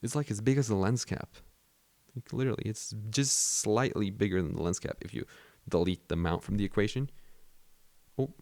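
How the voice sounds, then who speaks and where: A man's voice: on the low side, normal speed, darkish tone, no room sound. A young man from Mexico whose first language is Spanish, rambling casually about his camera gear with many fillers and restarts. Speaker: vlogger.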